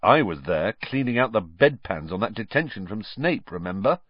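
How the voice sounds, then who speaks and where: A man voicing an excitable, indignant teenage boy in an exclamatory, blunt way for an audiobook. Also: on the low side, moderate pace, slightly rough, no room sound, lo-fi sound. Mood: eager.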